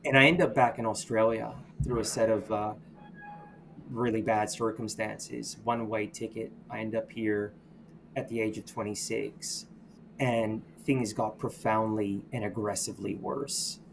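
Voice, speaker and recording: Low-voiced man telling his story. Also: little pitch movement, vocal fry, slight background noise. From United States. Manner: reflective, halting, with many restarts and "you know". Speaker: podcast guest. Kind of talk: candid personal storytelling.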